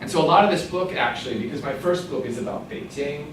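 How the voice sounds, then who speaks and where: An American man in his forties giving an author talk to an audience, telling stories with lively asides. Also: a little high, fast. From United States. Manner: lively storytelling with asides and laughs. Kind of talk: illustrated lecture to an audience. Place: event room at a cultural institute. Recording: very echoey room, voice from a distance, clear background noise.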